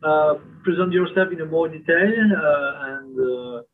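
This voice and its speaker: A man's voice: a little high, measured pace, faint music behind. A man hosting a podcast interview who talks hesitantly with many fillers. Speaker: podcast host. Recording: tinny phone-like line.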